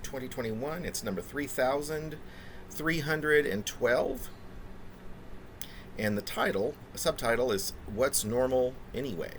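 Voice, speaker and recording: Low-voiced man in his fifties, lively intonation. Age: 50s. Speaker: poet reading own work. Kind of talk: casual spoken preamble and poetry reading. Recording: noticeable background room noise.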